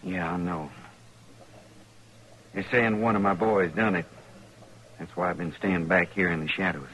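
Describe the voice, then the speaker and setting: Low-voiced sober trail boss. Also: male voice, steady tone, unhurried, a bit bright. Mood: grave, resolute. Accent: American rural Western. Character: sober, honorable trail boss of cattle drivers. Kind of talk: old-time radio western acting. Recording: faintly noisy old radio recording.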